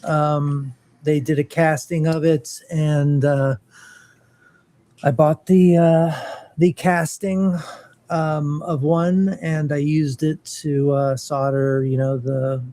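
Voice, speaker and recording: A man chatting casually on a podcast, talking in a halting way with lots of pauses and restarts. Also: a little high, level tone, warm tone. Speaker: co-host.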